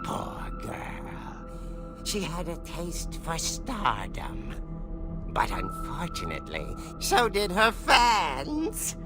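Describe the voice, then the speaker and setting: A man's voice: sits high, wildly swooping pitch, extremely slow. A man narrating a spooky comic-book tale in a theatrical, gleeful horror-host manner. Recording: loud music score behind.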